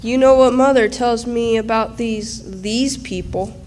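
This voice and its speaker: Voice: mid-range voice, a little slow, darkish tone, lightly acted. A performer playing a small, sickly, questioning boy in a radio drama, recorded in a reverberant hall. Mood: wary. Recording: echoey hall, distant, background noise.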